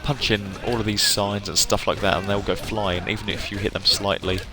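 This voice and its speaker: A man giving casual live game commentary to viewers, chatty and effusive, often pausing to search for words. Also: on the low side, level tone, over music. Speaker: game streamer.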